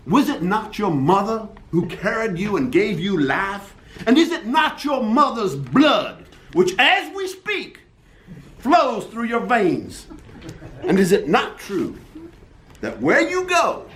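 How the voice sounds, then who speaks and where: A melodramatic courtroom lawyer, as a man plays him pleads in a high, swooping voice. He speaks slowly and theatrically. The reading is heard in an echoey room with faint noise. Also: a bit bright, full character voice. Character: theatrical male Southern lawyer pleading dramatically. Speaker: defense attorney Mister Lee. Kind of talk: amateur radio play reading.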